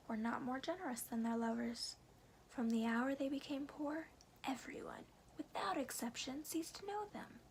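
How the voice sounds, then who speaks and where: A woman reading a storybook aloud in a soft, breathy ASMR whisper, phrasing slowly and deliberately with careful pauses. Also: sits high, a little hiss or hum, poor audio.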